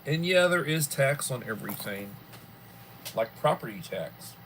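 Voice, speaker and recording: A man in his early sixties hosting a casual livestream from home, rambling and filling pauses often. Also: medium pitch, lively intonation, a bit bright, slight background noise, low-quality recording. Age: 60s. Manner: rambling, with many fillers. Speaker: livestream host.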